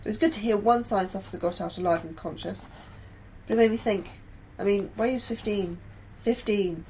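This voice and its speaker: A woman's voice: mid-range voice, normal speed, a little mellow, lightly acted, low-quality recording. A woman playing an anxious, conscientious astrobiologist narrating her diary in an audio drama, with faint noise. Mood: troubled.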